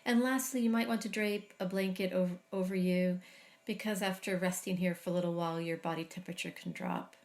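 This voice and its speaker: Middle-aged woman, low-ish voice, calm slow meditation guide. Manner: slow, soft, soothing guidance with long pauses. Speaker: meditation teacher. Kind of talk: guided body scan meditation.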